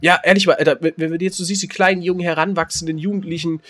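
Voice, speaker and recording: Male podcast co-host, 30s, slightly high voice, jokey and animated, lots of restarts. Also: rapid-fire. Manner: joking, animated, restarts often. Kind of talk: casual comedic chat.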